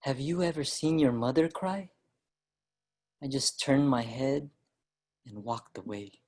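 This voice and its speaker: A woman's voice: a bass voice, slow pace, darkish tone, husky, exaggerated voice. A woman playing an earnest young Filipino immigrant writer who recalls his boyhood in a dramatic staged reading. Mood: reflective. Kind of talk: staged reading, storytelling narration and dialogue.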